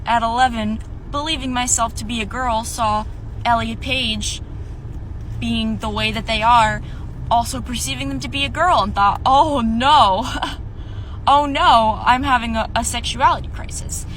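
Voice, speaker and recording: A person in their twenties tells an animated, self-mocking story to camera. The voice sits high and bright, with a faint hiss under it. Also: female voice, a little slow, rough recording. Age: 20s. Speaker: TikTok creator.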